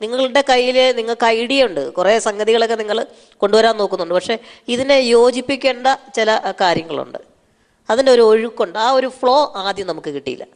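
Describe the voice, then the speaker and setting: A man speaks as a judge on a comedy show. His voice sits at a medium pitch, and he delivers his critique off the cuff, pausing and searching for the next phrase. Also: expressive. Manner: halting, searching for words. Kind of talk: unscripted judging feedback.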